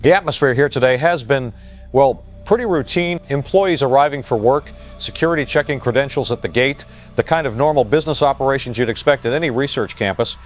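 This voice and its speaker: A man's voice: medium pitch, fairly quick, faint music behind, natural voice. A man playing a matter-of-fact field correspondent, giving a live news report with slight hesitations. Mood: calm, matter-of-fact.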